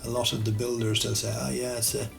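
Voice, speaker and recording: A man with an Irish accent teaching architectural CAD over a screen recording, relaxed and thinking aloud as he works, often correcting himself. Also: mid-range voice, fast talker, vocal fry, soft background music. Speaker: architectural designer and CAD tutor. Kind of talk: screen-recorded software tutorial.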